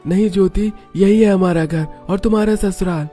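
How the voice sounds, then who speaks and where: A man voicing a young, poor village bridegroom who is gentle and reassuring. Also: high voice, moderate pace, faint music behind, pitched above the performer's own voice, close to an everyday voice.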